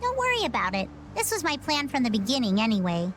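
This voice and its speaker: A quirky high-voiced pirate girl. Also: wildly swooping pitch, quick pace, fairly bright tone, slight background noise. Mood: breezy. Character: quirky, carefree young pirate girl with amnesia. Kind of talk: video game voice acting.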